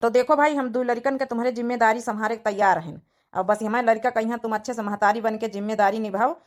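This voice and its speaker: Woman voicing an earnest village father, highish voice. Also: fairly even intonation, fast talker, close to an everyday voice. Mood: earnest, firm. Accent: Awadhi. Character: earnest widowed village father, devoted to his child. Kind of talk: cartoon comedy-drama dialogue in village dialect.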